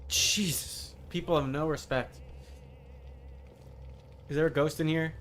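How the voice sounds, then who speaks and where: A man's voice: a little high, steady tone, measured pace, fairly bright tone, slight background noise. A man giving casual live commentary as he streams a video game, rambling and easily sidetracked. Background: game music.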